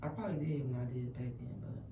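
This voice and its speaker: A sleepy woman with a deep voice, chatting to her camera at home in a rambling, reflective way. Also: rapid-fire, noisy background. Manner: reflective, rambling, soft-spoken. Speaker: vlogger. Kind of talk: casual chat to camera. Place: top-floor apartment at home. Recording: echoey room.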